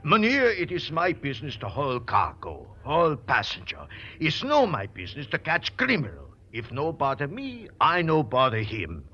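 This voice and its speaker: An actor playing a gruff, easygoing Dutch cargo captain who speaks hesitant broken English with a Dutch accent. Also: male voice, a little high, wildly swooping pitch, normal speed, sharp tone, heavily acted. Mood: wary, stubborn. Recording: dull old radio recording, music behind.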